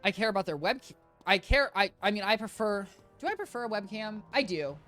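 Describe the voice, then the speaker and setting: A game streamer with a high, squeaky voice talks to chat in a loose, rambling way, starting sentences over and correcting themselves. Their pitch jumps up and down a lot. Soft game music plays quietly underneath. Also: expressive, fairly quick. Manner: rambling, self-correcting and chatty, often addresses chat as guys. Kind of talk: casual live-stream chat while gaming. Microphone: desk mic with compressor.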